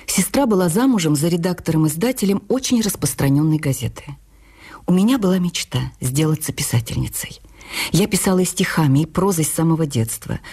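An actress playing a woman writer recalling her youth in an expressive, literary radio memoir reading. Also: deep voice, breathy edge, heavily acted. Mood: reflective.

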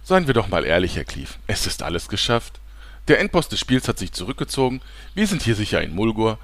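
An actor playing a villain's clingy, excitable male sidekick, gushing and prone to shrillness, in a comedy audio play. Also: lowish voice, a little fast. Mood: breezy, coaxing.

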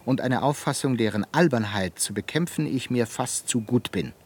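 An actor in a German radio play playing a fiery, eloquent Italian humanist pedagogue who declaims with indignant rhetoric. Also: male voice, mid-range voice, lively intonation, normal speed, breathy edge. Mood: lecturing.

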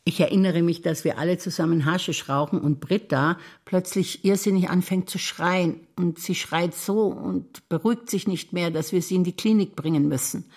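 An older woman, a German stage actress, speaking reflective memories in a measured theatre monologue. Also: on the low side, a little mellow. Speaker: stage actor.

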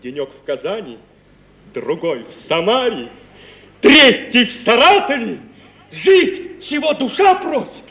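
Swooping high-voiced merchant's son. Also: male voice, measured pace, heavily acted. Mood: dreamy, excited. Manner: animated storytelling, bursting into laughter and exclamations. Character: lively reckless young Russian merchant's son, boastful and sweet-talking. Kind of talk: mid-century theatrical radio play acting. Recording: noisy 1950 radio play recording.

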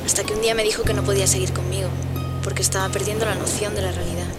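A woman's voice: medium pitch, level tone, very fast, natural voice. An actress playing a sensual, troubled young woman in a radio drama, hesitant and confessional. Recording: loud music and water sounds behind. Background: music, boat and water soundscape.

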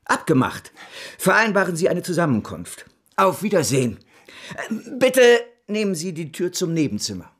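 An actor playing a vain, scheming French banker of about fifty in a German radio drama, slightly husky, brisk and smooth-talking. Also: male voice, high voice, big pitch swings, full character voice. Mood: calculating.

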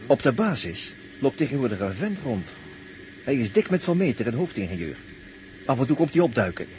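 An actor playing a brash, sarcastic, excitable crewman in a 1960s radio drama, talking in a colloquial, sardonic way. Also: medium pitch, brisk, close to an everyday voice. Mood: sardonic, grumbling. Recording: slightly noisy early-1960s radio recording.

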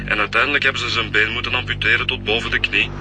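A man's voice: mid-range voice, fairly even intonation, racing, lightly acted. A man playing a rambling detective. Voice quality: rambling, musing. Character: chatty Flemish police detective. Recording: radio play with background music.

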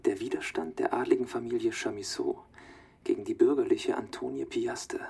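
A young man playing an earnest student dictating literary notes into a recorder in a radio play, with a gravelly, husky voice. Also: medium pitch, moderate pace, a little mellow. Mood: thoughtful, absorbed. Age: young adult.

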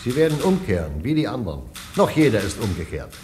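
Schauspieler als abweisender Ministerialrat, stark wechselnde Tonhöhe. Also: male voice, medium pitch, big pitch swings, fast, over music, natural voice. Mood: dismissive, warning. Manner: official, curt, discouraging. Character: dismissive, dry senior ministry official. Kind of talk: German radio drama acting. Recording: faintly noisy radio play.

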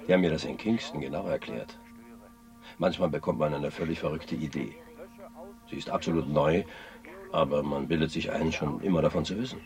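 A man's voice: rumbling low, a little fast, long pauses, darkish tone, faint music behind. An actor playing a skeptical, matter-of-fact man brushing off a stranger in a radio play. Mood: skeptical, patient.

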